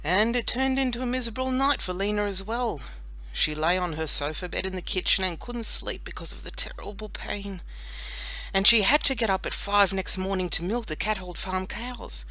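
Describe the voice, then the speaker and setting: A woman narrating a children's audiobook in an expressive, deliberate storytelling manner. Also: medium pitch, dry recording, low-quality recording. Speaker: audiobook reader.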